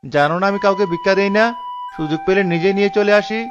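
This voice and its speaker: A man's voice: high voice, fast, highs cut off, music in the background, lightly acted. A man voicing a grumpy, boastful young penny-pinching villager in a Bengali cartoon, with an indignant, blustering delivery.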